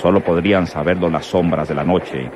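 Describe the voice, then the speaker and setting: A man's voice: sits low, steady tone, moderate pace, background noise, lightly acted. A man acting as the solemn narrator of a radio crime serial. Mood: dramatic, suspenseful. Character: solemn male storyteller of a rural crime serial.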